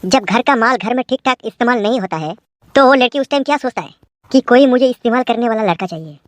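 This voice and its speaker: A woman's voice: fairly high pitch, lively intonation, very fast, no room sound, close to an everyday voice. A woman playing a swaggering male political henchman in a comic cartoon, coarse, taunting and threatening. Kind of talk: comic village cartoon dialogue.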